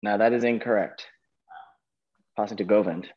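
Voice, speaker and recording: A man's voice: fairly low pitch, fairly even intonation, moderate pace, phone-quality sound. A man hosting a quiz, reading out questions and giving verdicts on answers. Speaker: quizmaster.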